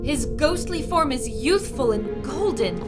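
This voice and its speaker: An actress playing an earnest young radio reporter, describing events to listeners in an audio adventure drama. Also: female voice, sits high, expressive, a little slow, over music. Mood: awed.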